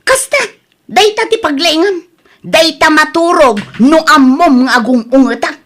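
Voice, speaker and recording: A woman playing a resentful, nagging wife and mother in an Ilocano radio drama, sharp-tongued and accusing. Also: high voice, measured pace. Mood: angry, accusing.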